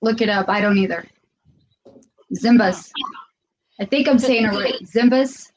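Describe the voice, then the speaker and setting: A woman giving psychic impressions about a doll in a relaxed, conversational way, repeating words as she searches for them. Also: mid-range voice, slight background noise, low-quality recording. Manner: thoughtful, unhurried, repeats words while searching. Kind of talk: casual conversation.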